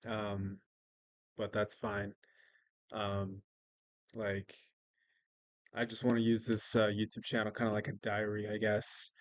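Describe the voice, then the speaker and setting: A man's voice: on the low side, completely flat delivery, takes it slowly, long pauses, dry recording. A man from Mexico with an American accent, rambling in a casual diary-style vlog, hesitant and full of restarts. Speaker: vlogger. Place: living in Mexico.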